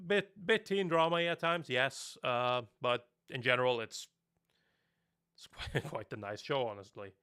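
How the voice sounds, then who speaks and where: A man's voice: medium pitch, measured pace, pushed and bright, clear, resonant tone. A young man from Norway, a native Norwegian speaker talking in English, chatting casually on a live stream, rambling and laughing often.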